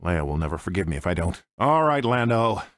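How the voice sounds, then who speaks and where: An actor playing a cocky, grumbling smuggler pilot in a video game, with an American accent and short sardonic quips. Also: male voice, low-pitched, very animated, a little fast, no echo, studio quality. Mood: resigned, determined. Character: cocky, wry male smuggler pilot, grumbling reluctant hero. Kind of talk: video game character voice lines.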